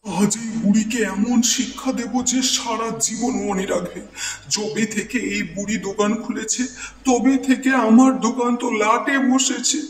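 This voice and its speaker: Very high voice, scheming jealous cartoon shopkeeper acted by a man, insinuating, distant hall echo. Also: steady tone, moderate pace, close to an everyday voice. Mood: vengeful, menacing. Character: scheming, jealous, vengeful village food-stall owner. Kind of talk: cartoon moral-story dialogue. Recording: echoey, distant, faintly noisy hall sound.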